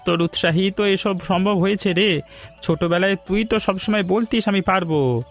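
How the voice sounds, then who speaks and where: Man playing a high-pitched, hospitable village friend. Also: moderate pace, soft background music. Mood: fond, grateful. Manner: familiar, hospitable. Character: warm young village shop owner, old friend. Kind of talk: cartoon story dialogue.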